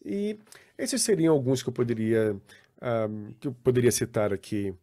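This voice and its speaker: A man, interpreting live over a video call in a halting, self-correcting way. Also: medium pitch, sing-song, dark tone. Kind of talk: live interpretation for an online panel.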